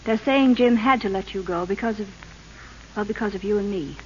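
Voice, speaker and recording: An actress playing a politician's devoted, anxious young wife in old radio drama, earnest, affectionate and pleading. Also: female voice, medium pitch, fairly quick, a little mellow, natural voice. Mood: anxious, confiding. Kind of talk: 1930s radio acting. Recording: muffled old radio recording with hiss.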